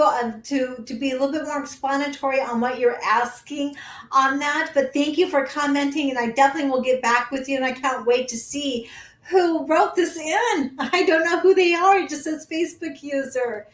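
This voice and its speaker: A woman's voice: high-pitched, moderate pace, fairly bright tone, reverberant. A woman hosting her own astrology livestream, chatting casually with many ums and reading out viewer comments while she is losing her voice.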